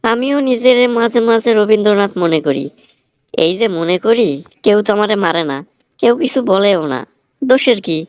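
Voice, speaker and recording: A man's voice: sits high, animated, normal speed, clear, resonant tone, no echo, clean studio sound. A man voicing a blunt, teasing film writer who lectures sardonically in a Bangladeshi accent, in a comic cartoon.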